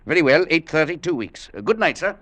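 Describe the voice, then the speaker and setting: A brusque misanthropic professor. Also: male voice, on the high side, sing-song, fast talker, loud music behind the voice. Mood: curt. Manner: curt, clipped, dismissive. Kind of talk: 1940s radio acting.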